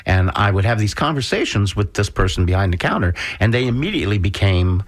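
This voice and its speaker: A man with a deep voice co-hosts a conversational radio show from a studio. He muses aloud and gently prompts his guest. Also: animated, fast talker. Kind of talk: conversational interviewing.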